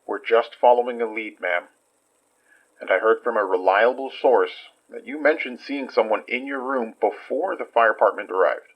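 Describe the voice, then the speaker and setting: A man's voice: medium pitch, moderate pace, close to an everyday voice. Muffled, earnest investigator played by a man. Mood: probing, careful. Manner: coaxing, reassuring, careful. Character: earnest, persistent investigator gently questioning a witness. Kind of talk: audio horror drama acting.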